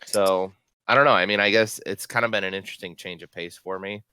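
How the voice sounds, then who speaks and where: A young man co-hosting a podcast in casual chat, with halting, hesitant phrasing. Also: sits low, steady tone, pushed and bright, smooth, dry recording. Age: young adult. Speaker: podcast co-host.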